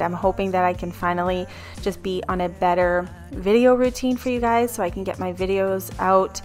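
A woman in her thirties talks through her cleaning routine in an easy, chatty voice-over, wandering into little asides as she goes. Her voice sits at a mid pitch, and soft music plays faintly underneath. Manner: chatty, rambling, relaxed asides. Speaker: cleaning vlogger. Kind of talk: casual voice-over narration.

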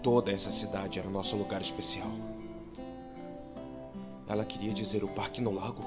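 A man's voice: on the low side, steady tone, moderate pace, lightly acted. A man playing a somber, bewildered, grieving husband in a dubbed video game monologue. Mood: wistful, searching. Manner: somber, subdued, brooding delivery. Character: grieving, bewildered husband searching for his dead wife. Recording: loud music over the voice.

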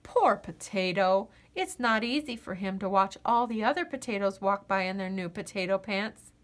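A woman reading a children's picture book aloud in an expressive, playful way. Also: medium pitch, moderate pace. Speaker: storybook reader.

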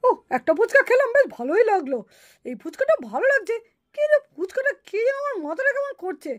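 A woman's voice: very high voice, very animated, fast. A woman voicing a whiny, innocent little schoolchild doll in a puppet cartoon, with a plaintive manner. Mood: woozy, whining. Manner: whining, plaintive.

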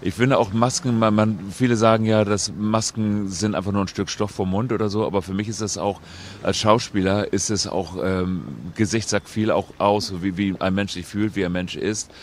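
An older man speaks his mind as a passer-by in a street interview. His voice is on the low side, with faint traffic noise around him. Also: fairly even intonation, normal speed. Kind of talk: casual opinionated street interview. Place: busy city street outdoors. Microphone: interviewer's handheld microphone.

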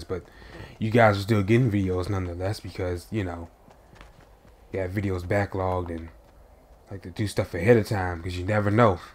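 A man's voice: deep voice, a little hiss or hum. A young man with a stuffy, nasal voice from a cold, chatting to viewers while he plays. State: congested, recovering from a cold. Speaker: gaming channel host. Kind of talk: casual gameplay commentary.

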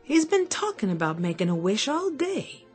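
A woman's voice: high voice, big pitch swings, normal speed, breathy edge, exaggerated voice. A woman playing a kindly, concerned single mother in a radio drama, plainspoken with an American accent. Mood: tender, concerned. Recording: radio drama with music underneath.

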